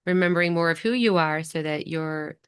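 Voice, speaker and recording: Smooth-voiced woman hosting on stage. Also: fairly low pitch, brisk. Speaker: event host.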